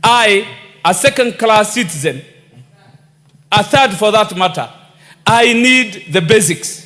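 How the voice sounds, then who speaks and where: A Kenyan man with a notably high voice makes an impassioned speech to parliament, piling up rhetorical questions and turning back to the Speaker again and again. He speaks into a desk microphone, and the chamber's long reverb trails behind every phrase. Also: lively intonation, slow, sharp tone. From northern Kenya. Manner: rhetorical, repeating appeals to the Speaker. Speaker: member of parliament.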